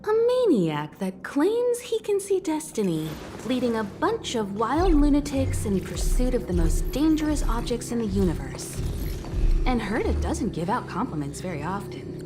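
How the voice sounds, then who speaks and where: An actress playing a poised, firm and sardonic crew leader in a video game, speaking formally and dryly. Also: female voice, on the high side, very animated. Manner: formal, measured, dryly stern. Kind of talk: English video game voice acting. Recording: background music under the voice.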